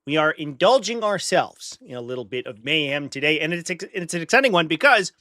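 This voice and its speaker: A man's voice: fairly high pitch, expressive, a little fast, sharp tone, a little hiss or hum. A man with a stuffy nose from a flu, hosting a live stream in casual chat, talking straight to his viewers with dry sarcastic asides.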